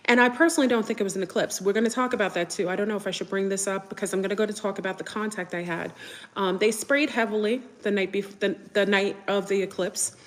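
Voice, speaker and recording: A woman's voice: medium pitch, steady tone, fast. Woman, first language French, casual livestream chat, hesitant and rambling, long room reverb. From United States. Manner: rambling, hesitant, lots of fillers and restarts. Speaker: livestream host.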